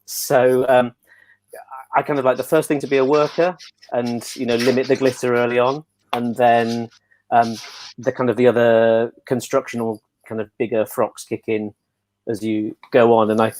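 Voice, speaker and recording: A man's voice: mid-range voice, little pitch movement, moderate pace, a little mellow, low-quality recording. A man chatting casually on a panel as a performer and designer, hesitant, wry and self-deprecating. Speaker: pantomime dame performer and costume designer.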